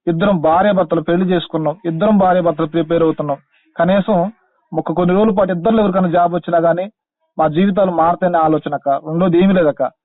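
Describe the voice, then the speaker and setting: A man's voice: high-pitched, fairly even intonation, fast, no room sound. A young man with a Telangana Telugu accent making a respectful, insistent, pleading appeal over a tinny phone line. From Khammam district, Telangana, India. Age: young adult. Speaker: caller, teacher-exam candidate.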